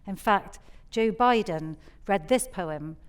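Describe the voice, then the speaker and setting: Composed woman host with mid-pitched voice. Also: animated, slow, clear, resonant tone. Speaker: host introducing poems. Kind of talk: scripted introduction to a live audience. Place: theatre stage.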